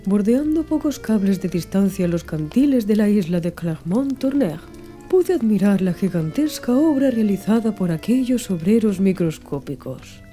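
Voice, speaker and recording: A woman's voice: mid-range voice, animated, normal speed, mellow, soft background music. A woman reading scripted audiobook narration.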